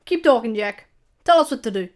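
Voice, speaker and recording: A woman's voice: on the high side, lively intonation, a little fast, darkish tone, close to an everyday voice. A woman voicing a plaintive, eager young newsboy with slangy speech in an audio story. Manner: lamenting, slangy.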